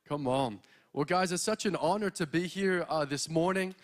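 High-voiced young Australian preacher. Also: male voice, takes it slowly. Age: young adult. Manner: energetic, exhorting, walks the stage. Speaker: guest preacher. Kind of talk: sermon. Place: church stage. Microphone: handheld mic.

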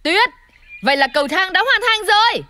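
A woman's voice: very high-pitched, lively intonation, brisk, sharp tone, full character voice. A woman voicing a caring young boy panda in a children's cartoon. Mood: eager. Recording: music under the voice.

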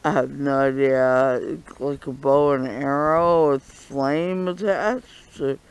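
A man vlogging on a walk through a bare forest, talking earnestly and insistently, pausing often. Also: medium pitch, steady tone, smooth. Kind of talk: walking vlog monologue.

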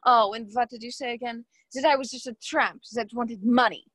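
Haughty, sharp-tongued young hostess, played by a woman. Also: on the high side, fairly even intonation, moderate pace, dry recording. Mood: bitter, threatening. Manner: snide, dismissive. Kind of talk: mystery radio play acting.

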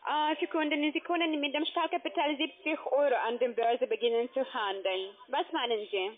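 A woman with a foreign accent, a non-native speaker, giving a polite scripted sales pitch by phone. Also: moderate pace. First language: not German. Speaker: telephone sales agent. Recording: thin phone line.

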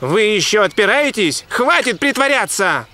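A man's voice: high-pitched, sing-song, normal speed, bright, forceful tone, full character voice. A man voicing a brave, hot-headed young dog who wants to be a musketeer, earnest and declamatory in a dubbed cartoon. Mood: defiant. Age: young adult.

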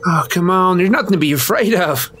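Actor as high-voiced cocky young boyfriend. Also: male voice, animated, brisk, fairly bright tone, husky, exaggerated voice. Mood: teasing, dismissive. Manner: casual, dismissive. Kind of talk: audio drama acting.

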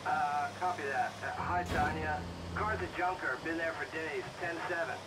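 A man's voice: a little high, moderate pace. A man playing an offhand dispatcher over a two-way radio in a video game, in clipped radio-code talk. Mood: offhand, businesslike. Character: offhand male tow-truck radio dispatcher. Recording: two-way radio under loud engine noise.

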